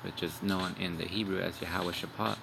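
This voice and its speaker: Deep gravelly man preaching over music. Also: little pitch movement, fairly quick. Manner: rambling, repeats "man" and "all right"; profane, blunt. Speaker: street preacher. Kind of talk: informal religious video sermon. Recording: music playing behind.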